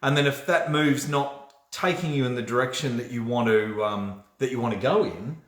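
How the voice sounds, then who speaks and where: A man's voice: mid-range voice, normal speed, a bit bright. A man with an Australian accent hosting a casual motivational livestream, chatty and often checking in with "right?". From Australia, born in New Zealand. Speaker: host of a personal development livestream. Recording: roomy, echoey room sound.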